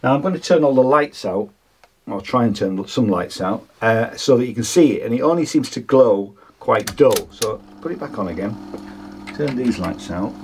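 A man in his sixties with a husky voice gives an informal hands-on demonstration, explaining hesitantly as he thinks aloud. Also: medium pitch, lively intonation, moderate pace, darkish tone, slight background noise. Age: 60s. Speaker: vlogger demonstrating vintage audio gear. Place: small home studio with foam panels, indoors.

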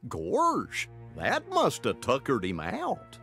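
A man's voice: mid-range voice, huge pitch swings, vocal fry, over music, full character voice. A man voicing a dim, good-natured cartoon dog in a game, with a folksy, bumbling manner. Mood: friendly, surprised. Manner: folksy, slow-witted, bumbling.

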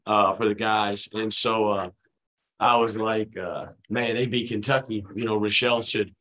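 A man in his forties, a head basketball coach, answering questions at a postgame press conference in a relaxed, conversational way with many fillers, speaking into a table microphone. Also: lowish voice, normal speed. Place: press room at a team table, his children beside him.

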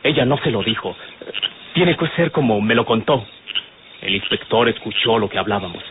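A man's voice: on the low side, animated, moderate pace, natural voice. An actor playing a loyal, respectful young man in an old radio drama, with a Mexican accent and a sincere, formal delivery. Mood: firm, earnest. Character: earnest, loyal, respectful young male henchman. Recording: noisy, tinny vintage radio recording.

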